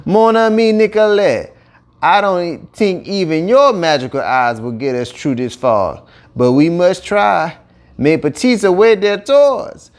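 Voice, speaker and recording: A man's voice: high-pitched, expressive, measured pace. A man voicing a hearty, determined Cajun Santa Claus from a storybook, calling out to his team with a Cajun accent. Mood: resolute shouting.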